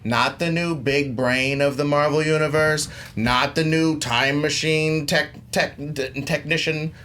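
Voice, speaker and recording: A man's voice: a little high, fairly even intonation, measured pace, a bit bright. A man arguing his case as a contestant on a film debate show, emphatic, repeating phrases and stumbling into restarts. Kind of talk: animated persuasive argument about films.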